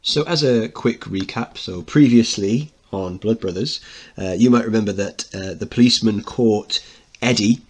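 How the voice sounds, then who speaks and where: A man's voice: on the low side, moderate pace, slightly rough. A man teaching, narrating a play and explaining it informally as he goes. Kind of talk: dramatic reading with informal explanation.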